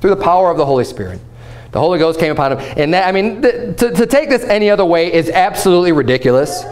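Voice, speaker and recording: Man in his thirties, pastor, lively conversational sermon, fairly high voice, church platform. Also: fast. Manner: animated, gesturing, conversational preaching. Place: church platform before a painted backdrop.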